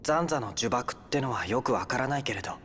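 A man playing a gentle, resolute teenage boy hero in an anime-style game cutscene, sincere and firm. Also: medium pitch, lively intonation, fast, big-room sound, a little hiss or hum, close to an everyday voice. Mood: earnest, gentle.

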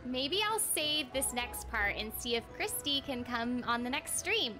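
A young woman live-streaming a game in a high, animated voice. She talks casually and playfully at a slow pace, with game music clearly behind her. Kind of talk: casual live stream chat while playing.

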